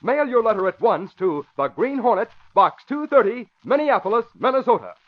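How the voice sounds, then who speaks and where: A man's voice: sits high, very animated, moderate pace. A man announcing in 1940s radio style, with a polished, emphatic salesmanlike delivery. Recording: dull vintage radio broadcast recording.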